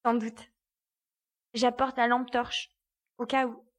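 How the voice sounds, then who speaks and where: A woman playing a determined, inquisitive investigator in a science fiction audio drama, with deliberate, assured, articulate delivery. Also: on the high side, flat delivery, a little hiss or hum. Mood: calm, decisive.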